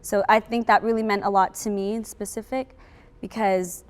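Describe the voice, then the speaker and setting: A woman's voice: medium pitch, level tone, normal speed. A young woman, a student actor, speaking earnestly in a heartfelt interview. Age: young adult. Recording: faint ambient noise.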